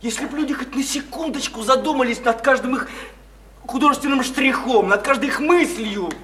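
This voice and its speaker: An actor playing an impassioned idealist in a radio play, preaching reform in halting, repetitive, grandiose speeches. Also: male voice, extremely high pitch, fairly bright tone. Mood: preachy, exalted. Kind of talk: theatrical radio play acting. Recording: noisy, echoey stage-like radio play recording.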